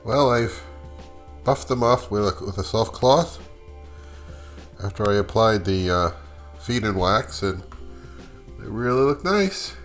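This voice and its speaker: A middle-aged man giving a casual, matter-of-fact how-to demonstration in a relaxed, conversational way, as a hobbyist vlogger. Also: lowish voice, takes it slowly, pauses often, soft background music.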